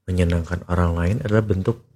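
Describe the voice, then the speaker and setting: A man with a somewhat low voice and a Jakarta Indonesian accent hosts a podcast chat. He is casual and slangy, interjecting often. English words slip into his questions and responses. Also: fairly even intonation, a little fast, dark tone. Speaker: podcast host.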